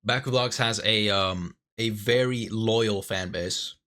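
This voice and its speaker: Low-voiced man hosting a casual interview. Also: takes it slowly, bright, forceful tone. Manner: loose, conversational, probing questions with filler words. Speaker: interviewer and host. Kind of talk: casual online interview chat.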